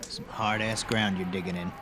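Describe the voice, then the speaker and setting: A man playing a blunt, weary older army general in a fantasy audio drama, with a gruff, commanding manner. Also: low voice, steady tone, moderate pace, noisy background, lightly acted. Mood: dry, needling.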